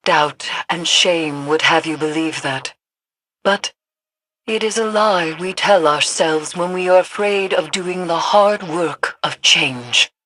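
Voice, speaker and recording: A cryptic female seer in a video game, stern yet reassuring. Her husky voice has a tense edge and she speaks slowly and gravely. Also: fairly low pitch, fairly bright tone, poor audio. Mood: solemn. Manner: grave, deliberate. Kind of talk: video game drama acting.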